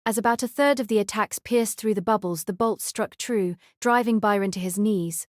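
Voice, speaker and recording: A woman's voice: mid-range voice, moderate pace, no echo, clean studio sound. A woman reading scripted audiobook narration of a story as its narrator.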